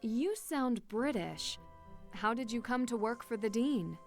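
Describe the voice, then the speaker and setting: Direct, probing tourist sleuth, higher voice, lively delivery. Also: female voice, measured pace, soft background music. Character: inquisitive female tourist investigator.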